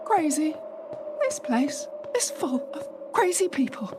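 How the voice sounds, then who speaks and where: A woman acting a high-voiced, sardonic hotel manager. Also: big pitch swings, slow pace, noisy background, heavily acted. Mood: dismissive, sarcastic. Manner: sarcastic, hesitant when flustered. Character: skeptical, sardonic new hotel assistant manager from London, growing uneasy. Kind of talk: radio play acting. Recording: music behind the voice.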